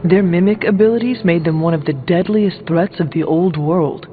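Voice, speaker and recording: An earnest, resolute warrior hero, played by a male game actor, with a high voice for a man. He is formal and deadpan, heard through a thin, narrow sound with long reverb. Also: moderate pace, close to an everyday voice. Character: solemn ancient warrior hero, literal-minded and resolute. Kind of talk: video game dialogue acting. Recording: thin band-limited game audio, long reverb, music.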